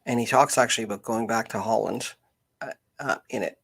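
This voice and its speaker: A man chatting unscripted as host of a live stream, halting and searching for words. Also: on the low side, vocal fry, fairly bright tone, husky.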